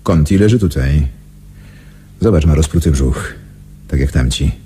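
An actor in a radio drama playing a sardonic, excitable secret-service major with a husky voice. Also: male voice, rumbling low, a little slow, full character voice. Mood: grimly impressed.

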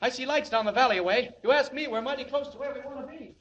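An actor playing a mild, boyish, eager newspaper reporter in a 1940s radio serial. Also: male voice, extremely high pitch, fast, fairly bright tone. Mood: breezy. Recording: muffled old radio recording.

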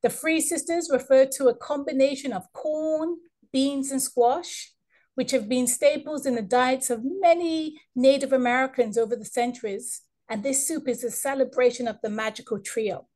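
High-voiced woman reading an introduction. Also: warm tone. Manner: measured, formal reading. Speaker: event moderator.

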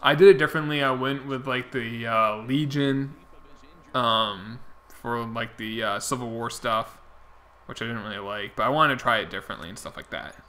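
Bright-voiced young man chatting while gaming. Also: medium pitch, moderate pace. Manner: rambling, talks to chat viewers by name. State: losing his voice. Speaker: game streamer. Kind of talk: casual chat while playing.

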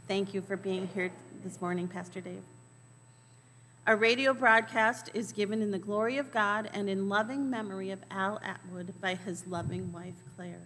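A woman's voice: medium pitch, fairly even intonation, moderate pace, fairly bright tone. Woman reading church announcements in reverberant sanctuary. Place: large church sanctuary, indoors. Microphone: lectern microphone. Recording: long church reverb, faint background noise.

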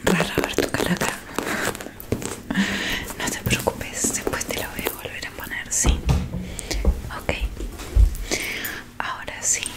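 A woman, doing a soft ASMR roleplay as a technician, murmuring deliberately to one listener close to the microphone. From Argentina. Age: 20s. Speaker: ASMR creator playing a robot repair technician. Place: small dim room indoors with curtains. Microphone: close microphone in front of her.

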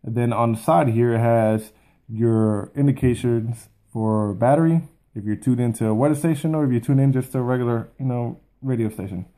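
A man's voice: mid-range voice, brisk, dark tone. A man in his thirties giving an informal, plain-spoken product review to camera, as a video host at home. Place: small furnished room at home, indoors.

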